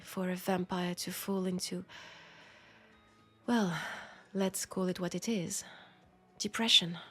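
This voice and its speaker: A woman with a French accent playing an ancient, lonely, seductive female vampire in an intimate audio roleplay, soft-spoken and deliberate, tender and grave, often slipping toward a whisper. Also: mid-range voice, slightly rough.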